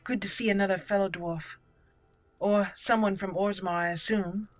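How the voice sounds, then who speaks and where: A woman voicing a proud, courteous young elf mage from a fantasy game, with formal, measured phrasing. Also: mid-range voice, fairly even intonation, no echo, soft background music, lightly acted. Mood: courteous, welcoming.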